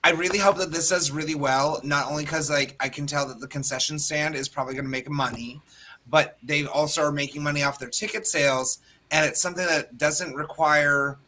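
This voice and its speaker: A man with a raspy, hoarse voice, chatting casually as podcast co-host and drag performer, self-mocking with quick asides. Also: on the high side, steady tone, bright, forceful tone, slight background noise, poor audio. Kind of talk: casual chat.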